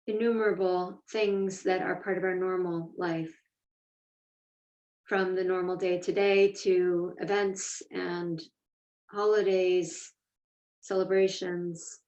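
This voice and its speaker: A woman teaching a guided meditation, speaking in a measured, deliberate way with pauses between phrases. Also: lowish voice, darkish tone. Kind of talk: guided meditation, slow instruction. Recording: echoey room, distant mic, dull sound.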